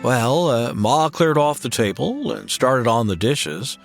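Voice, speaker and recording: A man playing an easygoing, soft-spoken drifter cowboy who hesitates and stammers in a drawl. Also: medium pitch, very animated, slow pace, creaky, loud music behind the voice, heavily acted. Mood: narrating. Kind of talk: old-time radio western.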